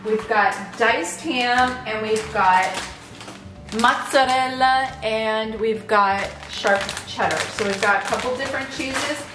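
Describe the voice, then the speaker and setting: A woman's voice: on the high side, slow, music in the background. A woman in her fifties talking casually and eagerly in a cooking vlog from her large home kitchen, with plenty of fillers and restarts. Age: 50s. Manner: chatty, enthusiastic, many fillers and restarts. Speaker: lifestyle vlogger. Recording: echoey kitchen room.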